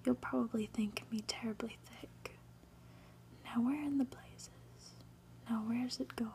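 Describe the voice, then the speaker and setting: A befuddled, posh office boss from a storybook, whispered by a woman. He is fussy and muddled but old-fashioned and polite, in a soft, breathy, mellow hush. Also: a little high, steady tone, measured pace. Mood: flustered.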